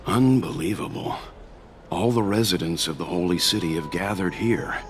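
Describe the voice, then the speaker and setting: A man voicing a calm, analytical gentleman mentor in a video game, with a measured, reserved manner. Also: low-pitched, a little hiss or hum, lightly acted. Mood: awed. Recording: loud music under the voice.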